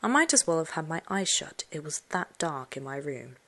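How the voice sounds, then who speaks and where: A woman with a low voice narrates a children's story in the first person. Her reading is highly animated and suspenseful, moving between expressive emphasis and hushed tones. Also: normal speed. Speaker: audiobook narrator.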